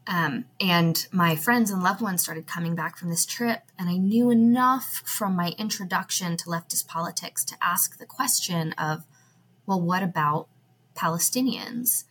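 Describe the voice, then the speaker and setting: A woman's voice: on the low side, measured pace, a bit bright, slight background noise. A woman, speaking as a podcast guest, thoughtful, with frequent fillers. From United States. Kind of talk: podcast interview.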